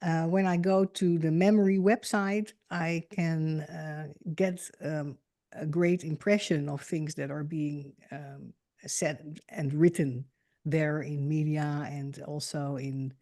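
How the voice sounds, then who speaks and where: A woman's voice: deep voice, little pitch movement, slow pace, dark tone. A woman hosting a podcast, politely introducing her guest and asking questions in a formal manner. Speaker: podcast host. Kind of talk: interview hosting.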